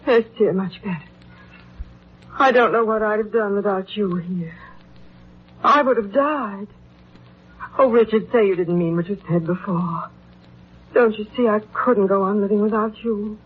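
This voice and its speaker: An actress playing a clinging, manipulative wife in 1940s radio melodrama, husky and breathy, with a plaintive delivery. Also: female voice, medium pitch, unhurried, long pauses. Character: clinging, manipulative wife feigning a weak heart. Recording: tinny old radio broadcast.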